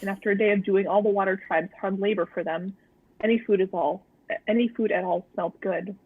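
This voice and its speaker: A woman with a husky, breathy edge reads a story in a casual, understated way. Her delivery is deadpan, and the sound is close, dry and a little lo-fi. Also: medium pitch, fairly quick, no room sound. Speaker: co-host of a fan fiction read-aloud stream. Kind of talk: story reading and casual chat.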